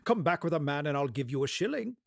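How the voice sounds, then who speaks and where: A giddy, exclaiming old gentleman. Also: fairly high pitch, fast talker, creaky, no echo, clean studio sound. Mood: excited, delighted. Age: older. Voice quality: excitable, exclaiming, breaking into chuckles. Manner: effusive, courteous, old-fashioned British phrasing. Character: elderly reformed miser, giddy with joy and generosity. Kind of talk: theatrical storybook radio-play acting.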